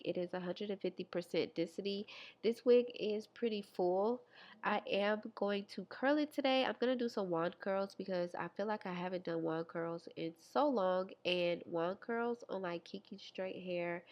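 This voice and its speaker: A woman's voice: mid-range voice, darkish tone, lo-fi sound. A young woman with a stuffy, congested nose, chatting casually to viewers while walking through a hair tutorial. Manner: chatty, friendly, talks to viewers. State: congested, nasal. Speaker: beauty vlogger.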